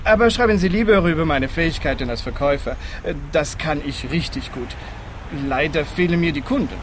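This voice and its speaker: A man's voice: fairly high pitch, a little fast, background noise, close to an everyday voice. A man playing a hard-up used car salesman in a radio play, chatty and candid with eager sales patter.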